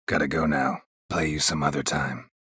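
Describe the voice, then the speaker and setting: A gruff, world-weary monster hunter for a video game. His voice is very low and heavily rasped, recorded dry and close. He speaks in terse, deadpan phrases with a stoic temper and dry understatement. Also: normal speed, dry recording, full character voice. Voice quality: gravelly, husky rasp. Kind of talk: video game character dialogue.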